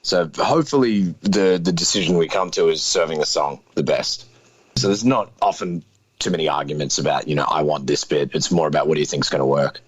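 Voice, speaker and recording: A deep, low-voiced man in his thirties, talking as an interview guest. He is laid-back and hedges every point with fillers and "sort of". The sound is dry and close, with no room around it. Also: a little fast, slightly rough, dry recording, poor audio. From Australia. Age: 30s. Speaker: guest musician. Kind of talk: casual interview chat.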